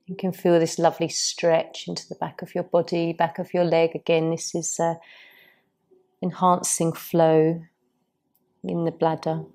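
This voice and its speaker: A woman yoga teacher guides stretches and breathing in a soothing, unhurried manner. Her voice sits fairly low and has a warm, mellow tone. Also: completely flat delivery. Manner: soft, soothing, unhurried guidance. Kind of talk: guided yoga class instruction.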